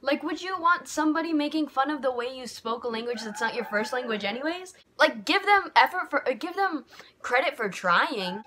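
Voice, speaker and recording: A woman's voice: high-pitched, expressive, fairly quick, pushed and bright. A young American woman vlogging about her feelings in a candid, rambling way, full of "like" and restarts, growing heated when frustrated. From United States. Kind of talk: personal reflective vlog. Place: home bedroom by a window.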